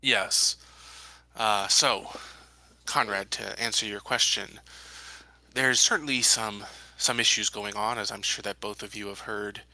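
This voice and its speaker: A man playing a guarded, authoritative colony security chief in a tabletop roleplay, talking in a measured, careful, official way. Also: on the low side, fairly even intonation, bright, forceful tone, dry recording, exaggerated voice, poor audio. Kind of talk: improvised tabletop roleplay dialogue.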